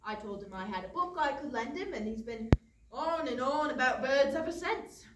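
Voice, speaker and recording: Lively, chatty seasoned castle guard played by a woman. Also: high voice, moderate pace. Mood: explaining. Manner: colloquial, reassuring, explanatory. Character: seasoned, chatty, bird-loving castle guard, teasing but kindly. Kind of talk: theatrical staged comedy reading. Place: indoor studio theatre. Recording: roomy, distant stage pickup, faint noise.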